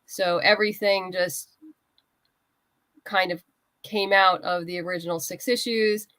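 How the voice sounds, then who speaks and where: A woman's voice: fairly low pitch, fairly even intonation, a little slow, a bit bright, no room sound. A woman speaking casually as a guest writer in an interview, explaining her creative process and acting out little asides.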